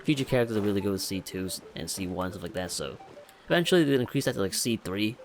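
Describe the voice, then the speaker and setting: A man's voice: fairly low pitch, lively intonation, fast, a little hiss or hum. A young man giving casual let's play commentary, chatty, slangy and amused. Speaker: gaming YouTuber.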